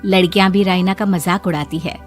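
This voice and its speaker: A woman reading scripted narration for an animated moral story as the narrator. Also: fairly low pitch, fairly quick, soft background music.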